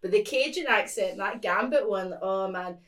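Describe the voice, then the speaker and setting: A woman co-hosting a casual podcast chat, giggly and animated, swearing casually. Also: mid-range voice, normal speed. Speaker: podcast co-host.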